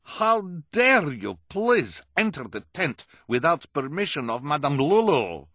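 Male reader doing a loud, high, drawn-out fake fortune-teller woman, odd accent, bossy, storybook. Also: sing-song, dry recording, heavily acted. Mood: indignant, yelling. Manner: broken foreign-sounding phrasing, stray "please"s. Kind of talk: audiobook character voice.

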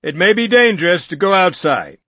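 A blustering lawyer, as a man puts him on, yelling over a crowd in a raised, lively voice. The tone is husky, and the commanding voice rises and falls a lot. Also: sits high, fairly quick, no room sound, pitched above the performer's own voice, heavily acted. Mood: bellowing. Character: blustering lawyer man trying to take charge.

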